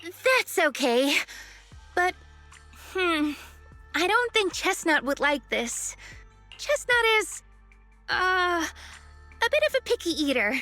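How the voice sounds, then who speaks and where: A woman playing a gentle, dreamy girl in an anime-style video game, with soft, hesitant pauses. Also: very high voice, sing-song, soft background music, full character voice. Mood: gentle, curious.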